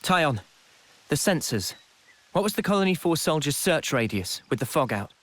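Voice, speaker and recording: A man playing an earnest, resolute young squad leader in a video game, measured and thoughtful in delivery. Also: on the high side, very animated, fairly bright tone. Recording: music behind.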